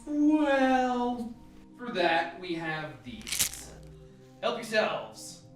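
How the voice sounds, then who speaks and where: An actor playing an authoritative, methodical scientist leading a team in a radio play, lecturing with dry humour. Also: male voice, high voice, sing-song, very slow, recorded from a distance, exaggerated voice. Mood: dry, instructive. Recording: echoey room, off mic, music behind.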